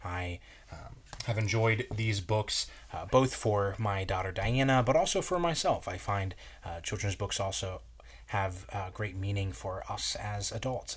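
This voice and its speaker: Guy in his 30s with a lowish voice, reading a kids story out loud, measured w/ pauses. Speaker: children's story reader. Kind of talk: picture book read-aloud. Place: small bright room at home, indoors.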